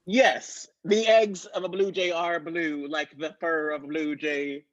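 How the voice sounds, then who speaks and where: A man puts on a tough crime boss with a high-set voice. He blusters meanly, then drifts earnestly into hobby talk. It is improvised radio comedy, with a dull, lo-fi sound. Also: fairly even intonation, a little slow, fairly bright tone. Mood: menacing, sidetracked. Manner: blustering, easily sidetracked, earnest about hobbies. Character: tough mean male crime boss who adores birdhouses. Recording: dull lo-fi audio.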